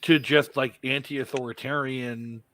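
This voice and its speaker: A man's voice: mid-range voice, steady tone, unhurried, a bit bright. A man in a casual group stream who gives blunt, profane opinions.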